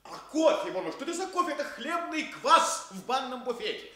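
An actor playing a haughty, imperious psychiatrist in a Soviet radio play, with a pompous, commanding manner. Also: male voice, very high-pitched, big pitch swings, brisk, pushed and bright, exaggerated voice. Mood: scornful, outraged. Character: haughty, imperious male visiting psychiatrist, grandly indignant.

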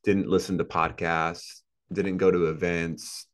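A man's voice: low voice, flat delivery, unhurried. A man in his thirties, a podcast guest on a video call, talking earnestly and motivationally with lots of repetition. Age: 30s. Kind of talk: casual interview talk. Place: indoor room with a plant and a couch.

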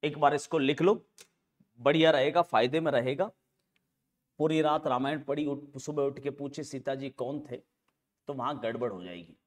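A man in his forties with a sore throat, lecturing students deliberately and repeating key phrases as he teaches on a lapel mic. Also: a little high. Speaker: history teacher for exam students. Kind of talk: classroom lecture with asides to students. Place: indoor studio classroom at a green board. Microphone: clip-on lapel mic.

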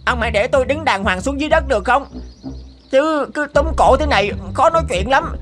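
A woman's voice: high-pitched, expressive, brisk, over music. A woman voicing a cunning, fawning wild turkey in a cartoon, pleading and persuading. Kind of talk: cartoon character acting.

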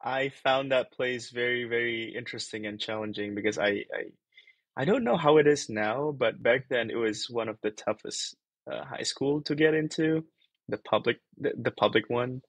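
A man's voice: medium pitch, moderate pace, dry recording. A young man from Indonesia, a PhD researcher talking casually on a video call, rambling and thinking aloud with many fillers and restarts. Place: small bedroom-like room.

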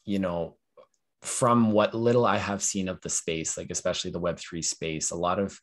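A young man hosting a podcast over a video call, talking in a relaxed way full of "you know" and "um". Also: sits low, normal speed. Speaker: podcast host. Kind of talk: casual interview talk. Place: small room at home.

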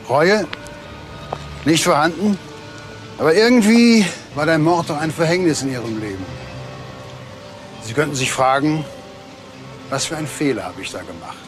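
An actor playing a cold, businesslike middleman in a crime radio drama, speaking in a measured, probing, matter-of-fact way. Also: fairly high pitch, lightly acted. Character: cold, businesslike middleman recruiting a hired killer.

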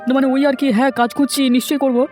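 A lazy, sulky village husband, acted by a man in a children's cartoon. His voice is pushed high and whiny, and he talks quickly and evasively. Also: little pitch movement, a little mellow, soft background music. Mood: evasive, defensive.

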